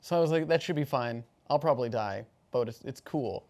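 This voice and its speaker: Fast-talking man in casual game chat. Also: medium pitch, darkish tone. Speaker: player in a tabletop game.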